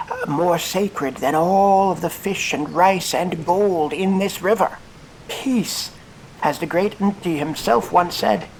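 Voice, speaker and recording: An actor playing a celebrated explorer in a fantasy audio drama, giving grandiose, halting, theatrical speeches to an adoring crowd. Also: male voice, fairly high pitch, creaky, a little hiss or hum, rough recording.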